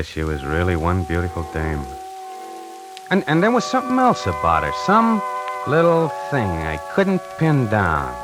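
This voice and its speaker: A man's voice: mid-range voice, close to an everyday voice. An actor playing a streetwise, nervous young tie salesman in a 1940s radio play, tough-talking and slangy, on an old radio recording. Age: young adult. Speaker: lead actor narrating.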